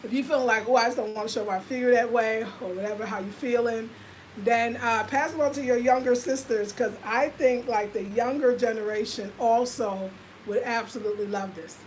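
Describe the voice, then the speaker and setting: A woman in her fifties with an American accent talks to her viewers in a loose, confiding way, full of "like" and "y'all." Her voice sits fairly high for a woman. A faint noise runs under the recording. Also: fairly even intonation, normal speed, low-quality recording. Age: 50s. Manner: chatty, confiding, lots of fillers. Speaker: lifestyle vlogger. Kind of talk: casual livestream chat.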